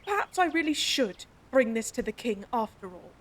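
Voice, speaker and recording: A woman's voice: a little high, big pitch swings, normal speed. A woman playing a stern, protective female guardian who scolds and talks down to her charge in an audio drama. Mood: scolding. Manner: scolding, indignant, clipped. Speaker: princess's guardian.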